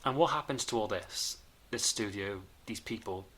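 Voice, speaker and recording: An actor plays an earnest man in a period audio drama. His voice is bright and forward, slightly low in pitch. He sounds uneasy and questioning, hesitant as if wanting reassurance. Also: moderate pace.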